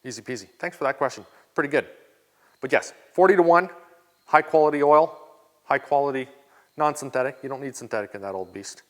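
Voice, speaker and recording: A Canadian man chatting through viewer questions in a relaxed, easygoing tone at a middling pitch. His voice trails off into a long reverb, as if he's speaking in a big garage. He fills his pauses with casual ums and uhs. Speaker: channel host answering viewer questions. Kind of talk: casual Q&A vlog.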